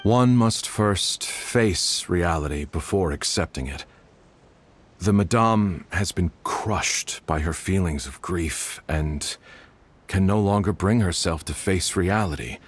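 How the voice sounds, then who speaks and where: An actor playing a solemn household doctor in a video game, speaking in a formal, measured way. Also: male voice, low voice, slightly rough, heavily acted. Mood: measured, considering. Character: grave, measured male household doctor bearing sad news.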